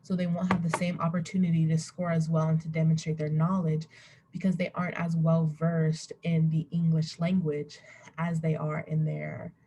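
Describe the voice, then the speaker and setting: A woman's voice: sits low, flat delivery, moderate pace, dark tone. A young woman giving an informal slide presentation as a student, rambling and interrupting herself, recorded on a computer at home. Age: young adult. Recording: webcam recording with faint background noise.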